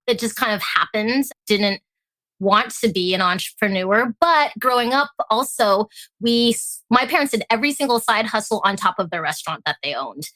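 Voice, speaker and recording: A woman raised in Alaska and born in Hong Kong, a tea company founder chatting as a guest in an interview, lively and laughing often. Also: fairly high pitch, no room sound, poor audio. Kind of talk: casual interview chat.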